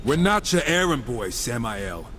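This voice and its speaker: A man's voice: mid-range voice, sing-song, a little slow, a loud crowd. A man acting a wisecracking, cocky horseman with a sarcastic, teasing manner in a video game cutscene. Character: wisecracking, cocky horseman, brash younger-brother gunslinger type.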